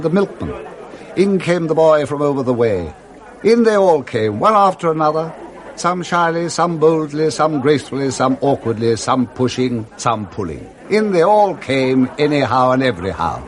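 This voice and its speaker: A man with an English accent narrating expressively and theatrically in a scripted radio drama. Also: medium pitch.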